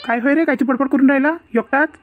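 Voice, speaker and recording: A woman voicing an excitable village youth in a cartoon comedy, full of excuses and bluster, with a Varhadi Marathi accent. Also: high voice, fast, phone-quality sound, soft background music, lightly acted. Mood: curious, explaining. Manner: evasive, blustering.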